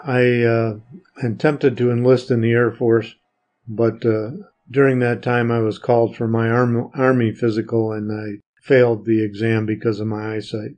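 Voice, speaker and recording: An older man reminiscing as an interview guest, plain-spoken and a little halting. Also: mid-range voice, level tone, a little mellow.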